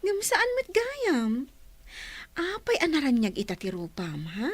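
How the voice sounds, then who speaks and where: A woman's voice: sits high, wildly swooping pitch, a little slow, no room sound, full character voice. A woman with an Ilocano accent playing a playful, nosy, teasing friend in a radio drama. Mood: teasing, curious.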